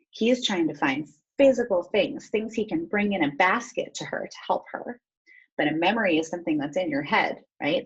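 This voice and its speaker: A woman teacher reading a storybook aloud and pausing to explain things step by step in an instructive way. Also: lowish voice, breathy edge, dull audio.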